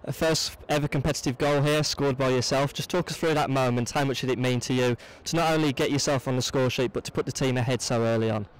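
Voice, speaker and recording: A man's voice: medium pitch, fairly even intonation, quick pace, few pauses, clean tone, rough recording. A man interviewing for a football club, asking fluent, presenterly post-match questions.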